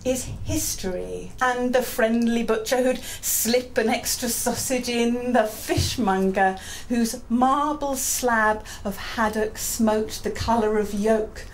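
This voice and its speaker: A middle-aged English woman, a poet, reading her work live into a stand microphone with measured, expressive phrasing. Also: a little high, rough recording. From England. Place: small dim pub room with seated audience. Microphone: handheld-type microphone on a stand. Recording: live venue microphone with background noise.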